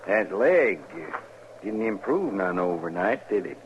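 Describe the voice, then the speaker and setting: An actor playing a coolly patient, taunting outlaw prisoner in 1950s radio drama style, with a rural American western accent. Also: male voice, lowish voice, very animated. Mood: patient menace. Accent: rural American West. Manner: mocking, unhurried needling. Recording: muffled, lightly noisy vintage radio recording.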